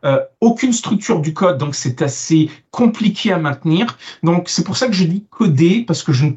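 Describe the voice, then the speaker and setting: A man born in France and now living in Canada, a software architect being interviewed about his book, talking casually with many fillers and restarts. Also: high voice, animated, moderate pace. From Italian, born in France, living in Canada. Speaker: guest author, software architect. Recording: dull lo-fi sound.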